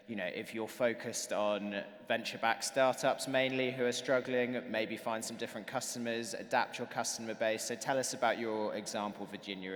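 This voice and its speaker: A man's voice: mid-range voice, a bit bright. Upbeat male panel moderator. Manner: brisk, friendly, upbeat. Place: large conference hall stage. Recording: long hall reverb.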